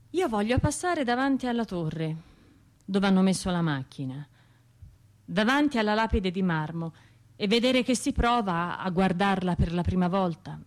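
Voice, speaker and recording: A woman's voice: medium pitch, a little mellow, natural voice. Wry, wounded Tuscan woman played by an actress. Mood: subdued, wistful. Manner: colloquial, halting, wry, confiding. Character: wounded, wry woman bombing survivor, talking through her pain. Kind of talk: radio theatre monologue, conversational storytelling.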